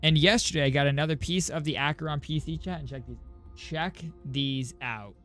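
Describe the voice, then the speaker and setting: A man's voice: fairly high pitch, moderate pace, a bit bright. Man streaming casually, game music behind. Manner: informal, addresses viewers directly. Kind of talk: casual livestream chat.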